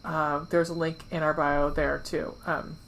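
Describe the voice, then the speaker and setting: A woman's voice: low voice, level tone, a little slow, fairly bright tone, low-quality recording. Casual, playful woman podcaster with background noise. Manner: informal, profane, playful. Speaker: podcast co-host. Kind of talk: casual chat.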